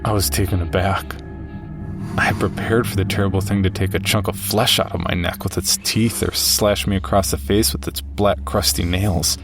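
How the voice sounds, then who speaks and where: A man playing a narrator who recounts a frightening encounter with a monster in measured, grave, scripted first-person storytelling. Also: low-pitched, flat delivery, creaky. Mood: grave, uneasy. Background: music.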